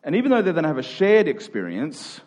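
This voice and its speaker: An Australian man speaking as a pastor in church, with measured, deliberate phrasing as he preaches, reads scripture and leads the congregation. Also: on the high side, wildly swooping pitch, darkish tone, highs cut off. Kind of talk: sermon with scripture reading and prayer.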